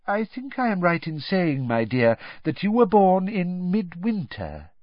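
A wafty, mystical older woman teacher, as a male reader puts her on, lifting high and drawing her words out in a sing-song sweep. Her voice rises and falls across a wide range. The sound is dry and a little dull. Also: wildly swooping pitch, no room sound, full character voice. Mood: dreamy, mystical. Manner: airy, drawn-out mystical delivery, turns sharp when cross. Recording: dry, slightly dull studio recording.

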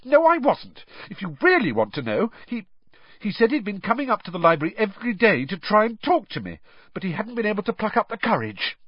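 A man voicing an indignant, bookish teenage girl who talks fast and shrilly when outraged. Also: very high voice, huge pitch swings, slightly rough, no echo, lo-fi sound, voice pushed up, full character voice. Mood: indignant, shrill.

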